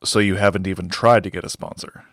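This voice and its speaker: An actor playing an earnest, grumbling, bookish collector with a conspiracy obsession, in naturalistic audio drama dialogue, dry and precise. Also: male voice, low-pitched, steady tone, fast, fairly bright tone, no echo. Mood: grumpy, skeptical. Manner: dry, skeptical, precise wording.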